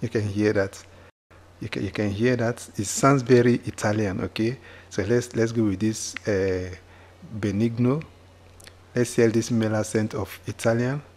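A man walking viewers through a software tutorial, often repeating himself and checking in with "okay?". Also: fairly low pitch, fairly even intonation, normal speed. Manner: repetitive, with frequent "okay?" checks. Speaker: tutorial host. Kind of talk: screen-recorded software tutorial. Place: indoors at a computer, voice-over on screen capture.

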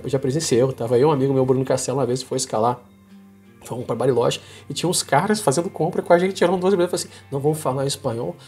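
Husky Brazilian man talking informally. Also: mid-range voice, darkish tone. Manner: colloquial, slangy, emphatic. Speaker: vlogger. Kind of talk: informal opinion monologue to camera. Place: small home office, indoors.

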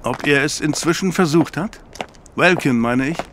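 A man's voice: a little high, moderate pace, background noise, lightly acted. An actor playing a curious, easily amazed male companion in a German audio drama. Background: music.

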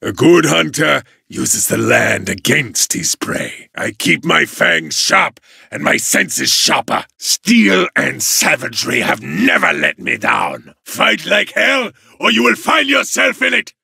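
A man playing a proud, savage warlord king of wolves for a video game, fierce and grandiose, with a raspy growl. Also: a little high, sing-song, slow pace, bright, forceful tone, dry recording, studio quality, full character voice. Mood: battle fury.

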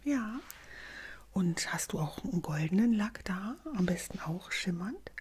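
A middle-aged woman in a gentle, unhurried whispered ASMR roleplay, speaking softly and breathily close to the microphone. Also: mid-range voice, lively intonation, a little hiss or hum. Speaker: ASMR creator playing a customer in a drugstore roleplay.

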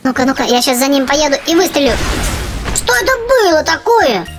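Woman, high voice, excitable casual gameplay host for kids, chatty reactions, clear music bed underneath. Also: fairly quick. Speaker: gaming channel host. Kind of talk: casual game commentary for children. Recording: game music behind the voice. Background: video game music.

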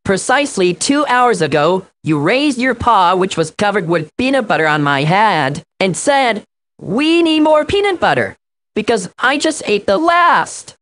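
Earnest, animated little animal boy. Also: medium pitch, measured pace, dry recording. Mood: precise, helpful. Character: earnest, precise little male animal character. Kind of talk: children's educational game acting.

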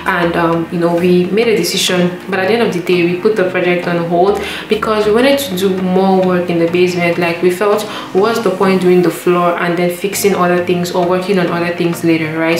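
A young woman, chatting casually to camera as a vlogger with lots of fillers. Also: lowish voice. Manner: relaxed, chatty, frequent fillers. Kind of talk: casual vlog chat to camera. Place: small indoor room at home. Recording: roomy echo with music underneath.

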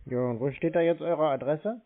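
A man's voice: a little high, normal speed. A man in a casual phone conversation, blunt and skeptical, persistently questioning the caller. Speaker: scam-baiting call recipient. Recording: narrow tinny phone-like audio.

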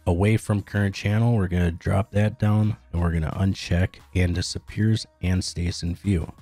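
Male tutorial narrator, very low and mellow, plain instructional delivery. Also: no echo. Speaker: tutorial host. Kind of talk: step-by-step software tutorial narration.

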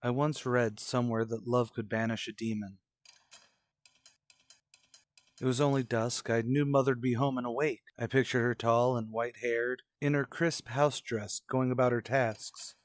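A man's voice: mid-range voice, fairly even intonation, moderate pace, few pauses, no echo, natural voice. An actor playing a haunted, unravelling young man in an old-time radio suspense drama, with a brooding, dramatic delivery. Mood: weary, subdued.

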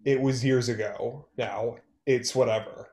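A man's voice: medium pitch, steady tone, a little slow, a little mellow, close to an everyday voice. A young man acting a hurt, guarded ex. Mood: resigned. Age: 20s. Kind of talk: read-through of a one-act play over video call. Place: room at home. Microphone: computer mic on a video call.

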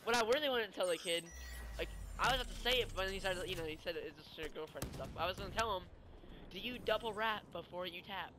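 A young man talks over a video game in a casual, rambling way. His voice sits a little high for a man. The recording is clearly noisy, with game sound behind him. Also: expressive, quick pace. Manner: rambling, informal. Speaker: gaming video creator. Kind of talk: casual storytelling and chat over gameplay.

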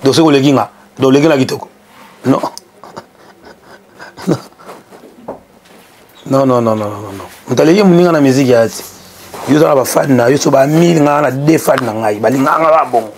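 A young man talking as a guest in a casual studio interview, animated and repeating phrases for emphasis, on a lapel mic. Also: mid-range voice, moderate pace, pauses often, slight background noise. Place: small indoor studio set.

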